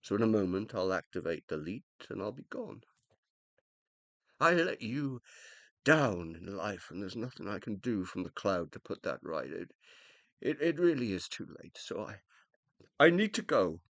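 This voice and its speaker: An actor in a radio play voicing the uploaded mind of a dead scientist, self-reproaching and loving, with an emotional, hesitant, confessional delivery. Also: male voice, lowish voice, very animated, unhurried, a bit bright, no echo, heavily acted. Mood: resigned, sorrowful.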